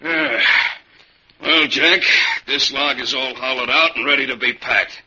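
Casting: backwoods crook underling, homely colloquial talk, radio melodrama, dull hissy recording. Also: male voice, mid-range voice, measured pace, pushed and bright. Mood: easygoing. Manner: folksy, colloquial. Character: folksy male lumberman crook, nervous underling. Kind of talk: old-time radio drama acting.

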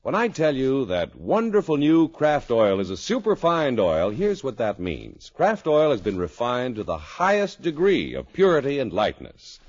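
A man's voice: mid-range voice, wildly swooping pitch, normal speed, hardly any pauses, darkish tone. A man announcing a 1950s radio show and reading sponsor copy in a polished, emphatic way. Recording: dull old radio recording. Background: music.

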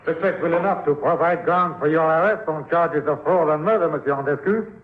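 An actor in a 1950s radio drama playing a stern, formal police commissioner with an official manner. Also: male voice, a little high, a little fast, lightly acted. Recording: noisy, phone-like 1950s radio recording.

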